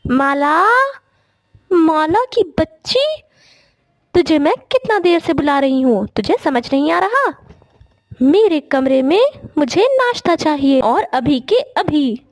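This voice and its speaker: A woman's voice: high-pitched, sing-song, slow pace, long pauses, dry recording, heavily acted. A woman voicing a bossy, rude young daughter-in-law in a cartoon moral story, demanding and haughty. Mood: angry, demanding. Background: music.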